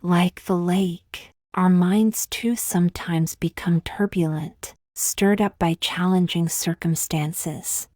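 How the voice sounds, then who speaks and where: A woman leading a guided meditation in a calm, gently measured, scripted style with long pauses between phrases. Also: fairly low pitch, dry recording, clean studio sound.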